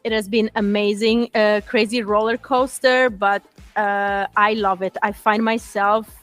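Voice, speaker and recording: Italian-accented woman co-host, earnest and halting, light music under her. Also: mid-range voice, fairly even intonation, a bit bright. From Italy. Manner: earnest, halting with frequent uh fillers. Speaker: show co-host. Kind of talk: interview answers.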